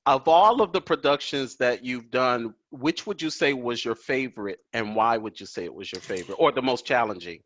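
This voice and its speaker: A man's voice: medium pitch, expressive, moderate pace, few pauses, fairly bright tone, no echo. A man hosting a home video-call interview, admiring and deferential, often answering "yes, ma'am". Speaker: talk show host. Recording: dull dry video call audio.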